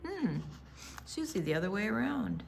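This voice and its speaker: An American woman reading a picture book aloud for young children, with dramatic pauses, hushed asides and emphatic delivery. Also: medium pitch, very animated, vocal fry, slight background noise. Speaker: children's storytime reader at a library.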